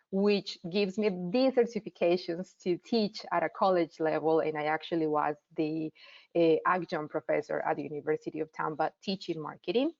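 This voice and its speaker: A woman's voice: lowish voice, gravelly, no room sound. A woman in her thirties from Colombia with a Colombian Spanish accent, teaching a marketing class and giving the audience direct, encouraging instructions. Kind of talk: online class lecture. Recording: dull lo-fi sound.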